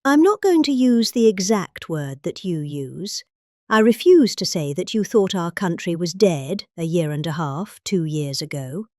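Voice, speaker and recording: A middle-aged woman with a British accent reads a political speech in a theatrical, comic style. Her pitch moves around in a very lively way, with deliberately overdone stresses. The sound is dry, with no room around it. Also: no echo. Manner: exaggerated emphases, deliberate word stresses. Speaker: reader.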